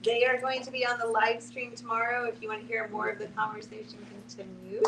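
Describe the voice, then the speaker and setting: Higher-pitched woman moderator, very noisy echoey room. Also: fast, gravelly, recorded from a distance. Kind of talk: event wrap-up announcement.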